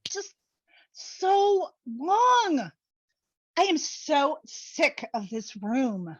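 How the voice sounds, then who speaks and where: A woman's voice: sits high, sing-song, drawn-out words, no echo, dull audio, exaggerated voice. A woman playing a gushing, needy and blunt young woman in a comedic play reading, with dramatic, exclamatory slang. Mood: lonely, complaining. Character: Brooklyn, gushing, needy, blunt young married best friend.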